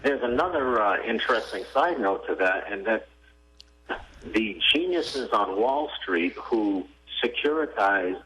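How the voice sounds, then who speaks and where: A man's voice: on the low side, animated, unhurried, pushed and bright, slight background noise, low-quality recording. A man co-hosting a radio talk show, speaking in a conversational, skeptical way with many hesitations. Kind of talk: casual radio chat. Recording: AM radio broadcast.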